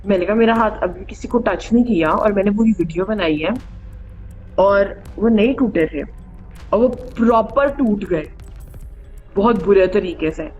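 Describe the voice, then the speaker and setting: A woman's voice: mid-range voice, a little slow, darkish tone. A woman casually telling personal stories as a show host, chatty and mixing in English phrases. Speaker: host of true ghost story show. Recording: dull band-limited audio, background music. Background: music under the voice.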